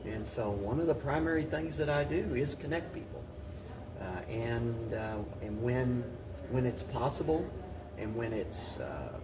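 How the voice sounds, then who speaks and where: A man speaking as an interview guest and city mayor, giving halting, measured answers and often repeating phrases. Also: medium pitch, animated. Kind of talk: talk show interview answers. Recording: distant mic, clearly noisy room.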